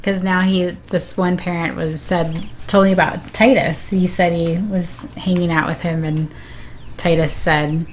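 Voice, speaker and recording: A woman's voice: on the low side, fairly even intonation, a little slow, a little hiss or hum. A middle-aged woman chatting casually as a guest on a live game stream. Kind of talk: casual chat.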